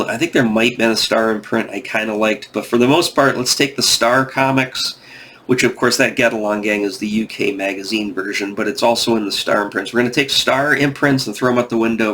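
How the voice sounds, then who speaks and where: A man from the United States hosting a comic book video, rambling casually, tired and going off on tangents, with some gravel in his voice. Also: medium pitch, steady tone, fairly quick, fairly bright tone, slight background noise. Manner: meandering, restarts often, goes off on tangents. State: tired, yawning.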